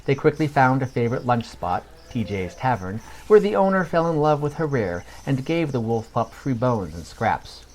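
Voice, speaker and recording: A man narrating a scripted fantasy story as a podcast storyteller, in a measured reading manner. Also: medium pitch, very animated, a little mellow, slight background noise, low-quality recording.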